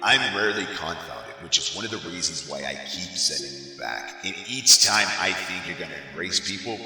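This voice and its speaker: Wry heavenly ruler played by a man, lively and measured, drenched in echo, music underneath. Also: deep voice, creaky, heavily acted. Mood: frank, exasperated. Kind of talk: audio play acting. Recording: long echoing hall reverb, music underneath.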